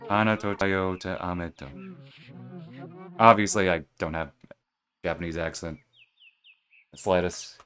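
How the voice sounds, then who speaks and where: A man's voice: deep voice, measured pace, pauses often, bright, forceful tone. A young man thinking aloud while playing a game, rambling and correcting himself as he goes. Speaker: streamer playing a video game. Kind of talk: casual let's play commentary.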